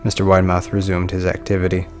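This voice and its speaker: A man's voice: deep voice, completely flat delivery, fast. A man reading a scripted first-person horror story as its narrator. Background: soft music.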